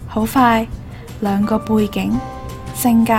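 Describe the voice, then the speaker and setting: A woman's voice: on the high side, level tone, slow, over music. A woman reading scripted storytelling narration as the narrator of a radio drama.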